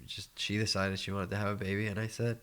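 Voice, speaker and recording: A man's voice: fairly low pitch, level tone, fast talker, a bit bright. A man in his thirties from Argentina whose first language is Spanish, guesting on a podcast and telling his story in a rambling, casual way with chuckles and restarts. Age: 30s.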